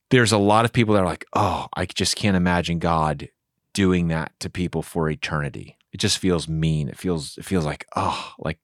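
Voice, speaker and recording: A man's voice: fairly low pitch, no room sound, studio quality. A man, a pastor, talking earnestly and with animation in a casual theology discussion. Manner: earnest, animated, acts out inner voices.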